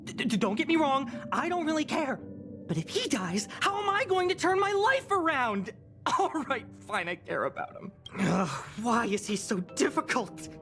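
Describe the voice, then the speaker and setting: Huffy, dramatic young game heroine, high and swooping, stammers when flustered, faint music below. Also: female voice, big pitch swings, normal speed, a bit bright, exaggerated voice. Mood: alarmed, defensive. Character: prickly, flustered young woman who secretly cares. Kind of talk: animated video game voice acting.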